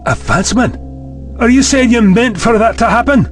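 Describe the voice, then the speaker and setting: Man acting an indignant high-voiced official. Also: moderate pace, faint music behind. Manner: blunt, demanding. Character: indignant male chancellor, blunt, sceptical authority figure. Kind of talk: audio drama acting.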